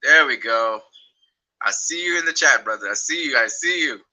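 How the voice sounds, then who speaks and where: A man's voice: mid-range voice, expressive, normal speed, sharp tone, rough recording. A man from Trinidad and Tobago hosting a casual livestream chat on his phone, rambling and repeating words as he goes. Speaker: livestream host.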